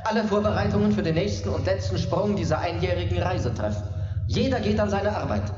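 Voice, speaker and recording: An actor playing a sardonic, commanding spaceship commander in a dramatic science-fiction audio drama. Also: male voice, sits high, animated, fairly quick. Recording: reverberant, dull old cassette sound. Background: music.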